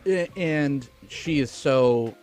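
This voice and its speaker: A man talks as co-host of a casual film review podcast. His delivery is emphatic and informal, with easy swearing. Music runs clearly underneath him. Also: a little high, takes it slowly, darkish tone. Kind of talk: casual movie review chat. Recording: music playing behind the voice. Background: background music.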